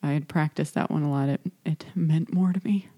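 Young american woman, low voice, mellow tone, laughs a lot, lots of ums. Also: fairly even intonation, a little fast. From United States. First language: English. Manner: laughing, self-deprecating, hesitant with many ums. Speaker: podcast host. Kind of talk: casual chat.

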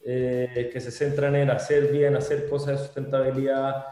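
A man's voice: medium pitch, moderate pace, very echoey. Venezuelan-born man with a Chilean accent. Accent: Chilean Spanish. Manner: relaxed, rambling, many restarts and filler words. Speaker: guest entrepreneur. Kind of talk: casual interview talk.

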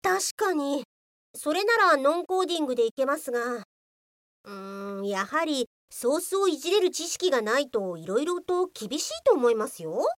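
A woman playing a sharp-tongued, exasperated police officer in a comedic radio drama, scolding and switching between polite and rude. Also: high voice, big pitch swings, normal speed, dry recording, studio quality. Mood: skeptical.

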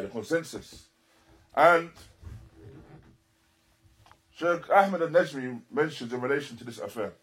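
A man giving a religious lecture as a teacher, speaking deliberately and emphatically in short, repeated phrases and switching into Arabic quotations. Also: mid-range voice, low-quality recording. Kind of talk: religious lecture explaining a text.

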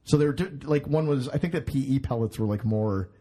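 A man's voice: medium pitch, brisk, mellow. A man in his thirties hosting a podcast in casual chat, rambling, swearing and laughing at himself. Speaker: podcast host.

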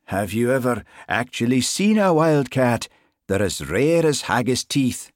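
A man voicing an affable, folksy police sergeant with a Scottish Highland accent, in an audiobook. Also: sing-song, measured pace, dry recording, clean studio sound. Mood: wry. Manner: informal, folksy. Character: lanky Highland police sergeant, affable, easily flustered, sly.

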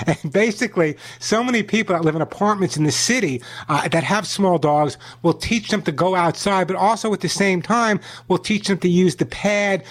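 A man hosting a call-in radio pet show, from New York, talking fast and repeating himself as he pitches products and gives advice. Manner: rapid, repetitive sales pitch and chatty advice.

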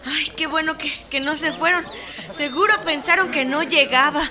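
High, bright-voiced anxious Mexican countrywoman. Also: moderate pace. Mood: breathless, worried. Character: Esperanza, anxious pregnant countrywoman helping her cousin. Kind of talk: Spanish-language radio drama acting.

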